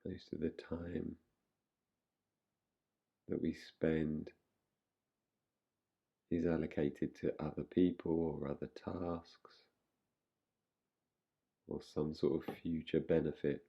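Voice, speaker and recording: Very deep, slow male meditation guide. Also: little pitch movement, gravelly, slightly rough, highs cut off. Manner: slow, unhurried, with long pauses.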